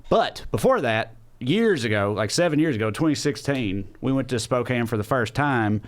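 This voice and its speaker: A man's voice: mid-range voice, animated, normal speed. A man, hosting a comedy podcast with casual, rambling storytelling that often doubles back mid-sentence.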